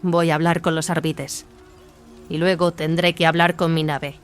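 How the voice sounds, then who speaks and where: A woman playing a stern, commanding warrior sister in an audio drama, speaking with firm, measured authority. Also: low voice, little pitch movement, lightly acted. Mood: cold, suspicious. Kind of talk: dramatized audio fiction acting. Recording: music behind the voice.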